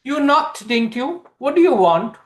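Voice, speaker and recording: A man voicing a bold, sharp-tongued young woman who challenges and scolds in a dramatic story reading. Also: high-pitched, big pitch swings, reverberant, voice pushed up. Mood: bold, scolding. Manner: challenging, rapid-fire questioning.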